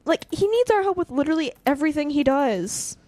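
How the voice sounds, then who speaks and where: A woman's voice: high-pitched, lively intonation, brisk, a little mellow, no echo. A woman playing a blunt, hot-tempered but caring young vigilante in a naturalistic audio drama, speaking emphatically. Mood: angry, scornful.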